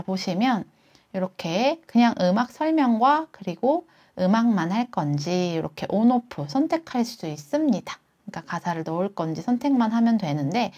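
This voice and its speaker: A woman narrates a screen walkthrough in the manner of a tutorial host. Her voice sits at an average pitch as she explains each step in turn. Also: a little mellow.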